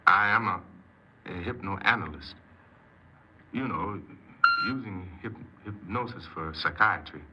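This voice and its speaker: An actor playing a troubled, defensive criminal confessing in a 1950s radio crime drama, speaking earnestly and haltingly as a proud hypnoanalyst. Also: male voice, sits low. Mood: hesitant, defensive. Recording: very noisy, muffled 1950s radio recording.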